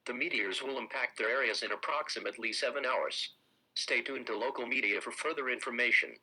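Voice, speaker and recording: A man's voice: medium pitch, a little fast, sharp tone, husky, rough recording. A synthetic-sounding man reading scripted emergency broadcast announcements in a formal, impersonal manner.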